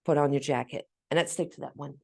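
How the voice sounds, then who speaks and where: A middle-aged woman with a slightly low voice talks casually and emphatically. She is an animated storyteller who slips into quoted dialogue, like a parent swapping tips with a co-host. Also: quick pace, creaky, slightly rough. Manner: talkative, emphatic, self-described loud speaker. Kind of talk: casual conversational talk.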